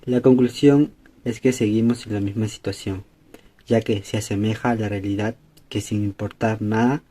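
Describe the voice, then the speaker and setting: A man's voice: lowish voice, steady tone, moderate pace, dark tone. A young man, a student presenter, reading a scripted concluding commentary. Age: young adult.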